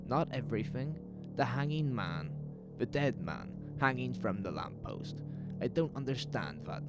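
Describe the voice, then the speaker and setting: A man's voice: medium pitch, sing-song, measured pace, voice pushed up. A man acting an agitated, frightened male survivor. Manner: urgent, emphatic, fearful. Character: frightened, agitated man who escaped a takeover. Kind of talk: horror audio drama acting. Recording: music behind the voice.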